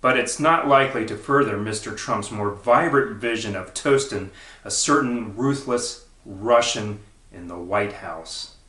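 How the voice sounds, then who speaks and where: A man recites poetry with a bright, forceful tone. He is wry and emphatic in his phrasing, and the room leaves a clear echo on his voice. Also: fairly low pitch, a little slow. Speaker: poetry reader. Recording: roomy, echoing recitation.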